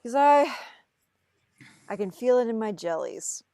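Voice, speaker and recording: A woman plays an earnest young man, desperate and pleading. The voice is high and very animated, recorded close and dry. It has the feel of an emotional film script read-through. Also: a little slow, dry recording. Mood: desperate, insistent.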